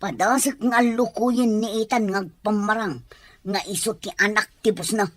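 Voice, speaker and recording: A woman's voice: mid-range voice, fairly even intonation, moderate pace, dry recording, lightly acted. A woman playing a scheming, money-hungry schemer in an audio drama, coaxing and insistent. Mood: insistent, greedy. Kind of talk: amateur audio drama acting.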